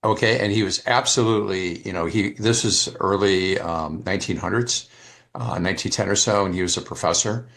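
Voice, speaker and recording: A man's voice: deep voice, brisk, vocal fry, fairly bright tone. A man making casual remarks and asking questions on an online group call, often restarting his sentences. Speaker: participant asking questions and commenting. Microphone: online call, off camera.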